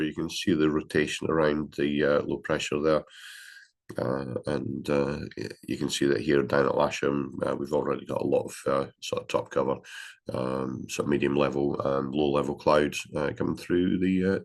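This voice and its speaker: Very deep-voiced congested Englishman. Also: steady tone, vocal fry. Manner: halting, many fillers. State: congested with a cold. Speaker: gliding club briefing presenter. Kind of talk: daily weather briefing.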